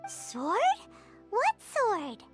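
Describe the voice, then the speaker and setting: A woman voicing a tiny, childlike fairy companion, curious, chatty and expressive, in an anime video game. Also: extremely slow, loud music behind the voice, exaggerated voice. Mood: surprised, puzzled. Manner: squeaky, exclaiming, expressive.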